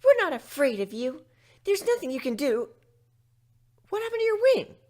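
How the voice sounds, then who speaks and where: A man putting on squeaky excitable little pony girls. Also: moderate pace. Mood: startled, defiant. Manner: eager, exclaiming. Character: excitable little pony schoolgirls, cheeky and brash.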